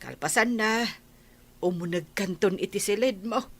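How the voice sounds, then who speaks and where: A woman voicing an older, devout grandmother who gives her daughter patient advice, with a husky voice. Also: mid-range voice, moderate pace, a bit bright. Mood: soothing.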